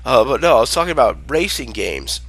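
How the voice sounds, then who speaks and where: A man's voice: medium pitch, big pitch swings, brisk, fairly bright tone, husky, dry recording. A man ranting casually about video games as he plays, rambling and swearing heavily. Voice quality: profane, rambling, with fillers. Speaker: gamer ranting over gameplay. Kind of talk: casual profane gaming rant. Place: at home, playing a video game. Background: game music.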